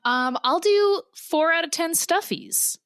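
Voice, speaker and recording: Casting: female podcast co-host, high bright voice, irreverent comedic banter, close dry sound. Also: slow, no room sound. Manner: animated, giggly, irreverent.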